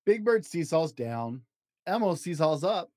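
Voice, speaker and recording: A man hosting a storytime show and reading a children's book aloud expressively, in deliberate short phrases with an encouraging manner. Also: fairly high pitch, very animated, mellow, no echo, studio quality. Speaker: podcast host reading picture books aloud.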